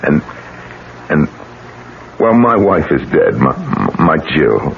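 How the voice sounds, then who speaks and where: A man's voice: rumbling low, very animated, slow, gravelly, heavily acted. An actor in a 1970s American radio drama playing a determined, grieving self-made businessman, emphatic and pressing with rhetorical questions. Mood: grieving resolve. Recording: noisy, muffled 1970s radio broadcast recording.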